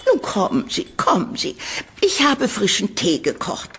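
A woman playing a condescending older landlady in an audio horror drama, sugary and dismissive, with a patronising, drawn-out delivery. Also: mid-range voice, wildly swooping pitch, slight background noise. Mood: sweetly soothing.

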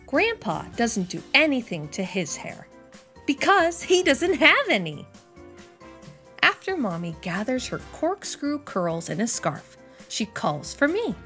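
A young woman host reading a picture book aloud expressively for young children. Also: a little high. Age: young adult. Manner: animated, deliberate delivery for young children. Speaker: children's storytime reader and host.

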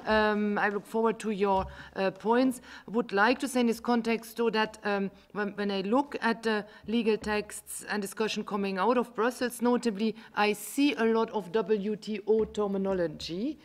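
A woman moderates a conference panel in a measured, formal voice at a middle pitch. She speaks into a table microphone in a large meeting room. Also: steady tone.

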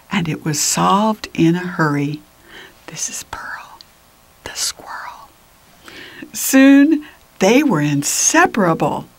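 An older woman reads a picture book to children in a medium-pitched voice. She takes her time, shaping lines expressively and easing into whispers, in a dry recording. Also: slightly rough, dry recording. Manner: expressive, deliberate, drops into whispers. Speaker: children's storybook reader. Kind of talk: picture book read-aloud with asides to children.

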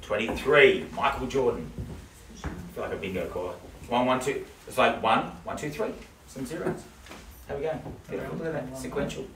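A middle-aged New auctioneer works a house sale with quick jokes and repeated bid figures. His voice sits at an ordinary pitch for a man. He is heard from across an echoey living room. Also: background noise. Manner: joking, rapid patter, repeating bids. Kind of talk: live property auction calling. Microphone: camera across the room. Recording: roomy, distant camera audio.

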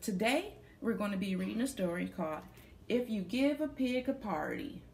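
A woman's voice: mid-range voice, very animated, moderate pace, gravelly, a little mellow, a little hiss or hum. A young adult woman reading a picture book aloud to children, stopping to put questions to her young listeners. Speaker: children's story reader. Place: small plain room indoors.

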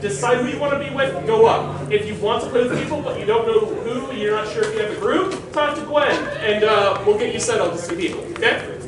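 Very high-pitched man, loud informal rules briefing, projecting, distant mic, strong echo, crowd around. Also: a little fast. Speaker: organizer of a live-action roleplay event. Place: open-sided concrete park pavilion. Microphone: far camera.

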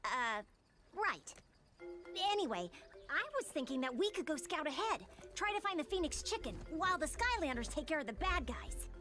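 Plucky, high-voiced young adventurer. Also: female voice, big pitch swings, moderate pace, over music. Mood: determined. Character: plucky young female adventurer, friendly and brave.

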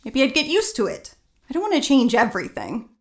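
Spirited lady novelist, animated and higher-pitched. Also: brisk. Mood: earnest, determined. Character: spirited female novelist enamoured of her old house. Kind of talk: audio drama dialogue.

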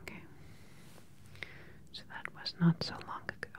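A woman in her thirties performing an ASMR medical roleplay as a calm, attentive doctor, asking questions in a soft, unhurried, clinical way close to the microphone. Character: calm, attentive government research doctor examining a patient.

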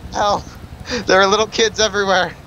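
A man's voice: high voice, level tone, brisk, pushed and bright, highs cut off, a little hiss or hum. A young man chatting and joking over voice chat while playing a zombie game, swearing freely. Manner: joking, swears freely, breaks into playful singing. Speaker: co-op player and friend of the host. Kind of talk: casual gaming chatter.

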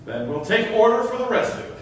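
A man's voice: extremely high pitch, big pitch swings, quick pace. A man playing a stern, sanctimonious governor in a staged reading of old verse, declaiming pompously. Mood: cold, official. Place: theatre stage in a hall. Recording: echoey hall, distant mic, faint noise.

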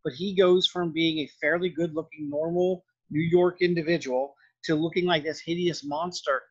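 A male lecturer with a slightly high, rough-edged voice talks through his material. His delivery is conversational and loosely worded, like a teacher explaining slides. The recording is somewhat dull and lo-fi. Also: steady tone, moderate pace. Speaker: film and art history lecturer. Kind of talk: lecture narration over slides.

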